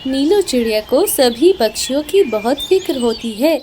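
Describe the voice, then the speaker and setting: High-pitched, lively woman storyteller. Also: moderate pace. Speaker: narrator. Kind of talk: scripted children's story narration. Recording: clear background noise with animal, bird sounds.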